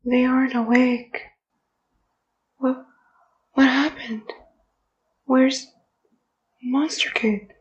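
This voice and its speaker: A timid, anxious ghost, voiced by a woman for an audio drama. The voice is high and extremely slow, hesitant and full of worry. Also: flat delivery, highs cut off. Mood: worried, confused. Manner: hesitant, drawn-out, worried. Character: Napstablook, timid anxious ghost, male or genderless.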